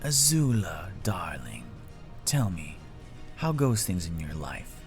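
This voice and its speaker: A man voicing a cold, scheming middle-aged tyrant king in a fan story, speaking slowly and gravely in a hushed, breathy murmur. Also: deep voice, big pitch swings, soft background music, voice pushed down, full character voice. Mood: cold, controlled. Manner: slow, grave, menacing, deliberate pauses.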